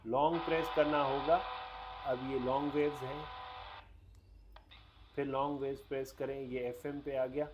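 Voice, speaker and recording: A man's voice: medium pitch, level tone, normal speed, long pauses, noisy background. Man casually demonstrating a gadget. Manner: explains step by step with English technical terms mixed in. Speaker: radio reviewer. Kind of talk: casual hands-on product demonstration.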